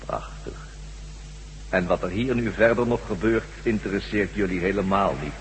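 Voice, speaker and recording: An actor playing a calm, menacing, smug alien who commands others in a radio drama. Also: male voice, low-pitched, normal speed. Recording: hollow reverberant hall, faint hiss and hum.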